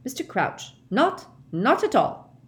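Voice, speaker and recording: A woman's voice: sits high, big pitch swings, takes it slowly, pitched above the performer's own voice, heavily acted. A woman voicing a blustering middle-aged male wizard official who barks and growls his accusations. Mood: flustered. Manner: barking, growling, loud accusations.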